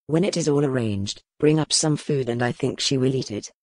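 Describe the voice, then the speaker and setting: Stern lordly duke guy, low and firm, dry close sound, a woman putting him on. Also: moderate pace, darkish tone, no room sound. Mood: commanding. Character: stern commanding male duke, hard master. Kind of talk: audiobook character voice.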